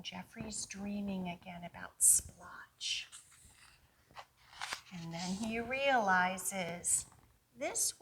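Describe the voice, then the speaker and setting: Bright-voiced lady doing kids storytime, really slow and clear storyteller pacing, asks little questions. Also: mid-range voice, a little hiss or hum, poor audio. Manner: expressive, coaxing, asks the listeners questions. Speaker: children's librarian hosting storytime. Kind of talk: animated read-aloud for young children.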